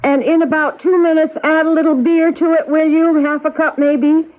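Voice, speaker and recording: An actress in a vintage radio play voicing a gentle, fretful middle-aged mother, fond and coaxing, a little hesitant. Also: sits high, fairly even intonation, normal speed, a little hiss or hum, natural voice. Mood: worried. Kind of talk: old-time radio drama acting. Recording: narrow muffled vintage radio recording.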